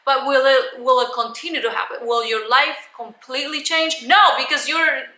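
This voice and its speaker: A woman giving a motivational coaching talk to camera in a loud, emphatic, blunt manner. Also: sits high, steady tone, moderate pace, roomy sound. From Cuban. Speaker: life coach, video host.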